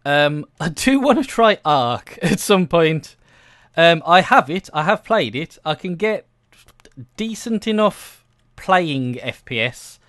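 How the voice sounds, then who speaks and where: Slow-talking, hesitant man chatting casually. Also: a little high, fairly bright tone, no echo, studio quality. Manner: hesitant, self-deprecating, giggly. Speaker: gaming video creator. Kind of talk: casual rambling chat over gameplay.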